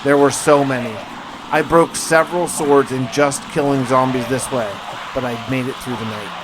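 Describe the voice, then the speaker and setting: A man's voice: mid-range voice, fairly even intonation, moderate pace, heavy background noise. A man narrating a gaming survival story as a scripted first-person log, with a dramatic storytelling delivery. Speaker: gaming video host narrating a role-play survival series. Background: music.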